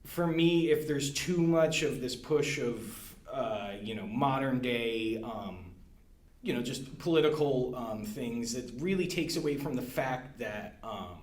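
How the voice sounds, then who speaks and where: A man of middle pitch talks through a casual podcast conversation, often pausing with fillers and restarting his thoughts. The room around him rings with noticeable echo. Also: lively intonation. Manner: hesitant, many fillers and restarts. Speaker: guest, library staff. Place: room at a library.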